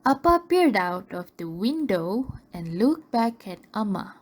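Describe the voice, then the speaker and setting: A woman's voice: medium pitch, big pitch swings, a little slow, slight background noise. A woman reading scripted narration for a radio drama.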